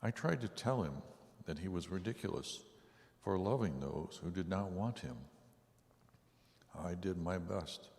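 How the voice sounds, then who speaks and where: A man performing a solemn, deliberate church reading as Sorrow, a grim and bitter tormentor. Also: deep voice, vocal fry, darkish tone. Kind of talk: dramatic church reading, monologue. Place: large church sanctuary. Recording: long reverberant church hall echo.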